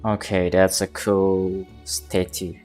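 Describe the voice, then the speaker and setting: A man's voice: deep voice, little pitch movement, slow pace, a little mellow. A man giving casual live commentary on a game, reacting bluntly and swearing freely. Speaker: game streamer. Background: video game music and sounds.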